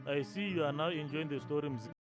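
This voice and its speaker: A man playing a calm grandfather storyteller. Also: a little high, quick pace, close to an everyday voice. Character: grandfather telling a folk tale to listeners. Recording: music playing underneath. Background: music.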